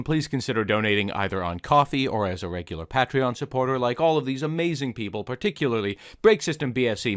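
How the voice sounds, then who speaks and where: A man's voice: mid-range voice, no echo, clean studio sound. A man hosting a story reading channel, speaking informally and conversationally straight to his viewers. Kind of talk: casual channel outro addressed to viewers.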